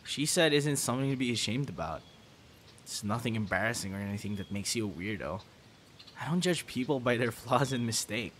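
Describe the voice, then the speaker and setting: A man's voice: mid-range voice, animated, a little fast, a little hiss or hum, lightly acted. A man playing an easygoing, teasing young guy in an ASMR boyfriend roleplay, talking casually and straight to the listener. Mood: reassuring, playful. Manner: casual, teasing, soft chuckles and sighs.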